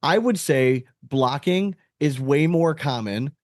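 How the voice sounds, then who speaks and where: A man's voice: fairly high pitch, expressive, slow, dry recording, studio quality. A man hosting a podcast in a casual, chatty style. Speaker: podcast host.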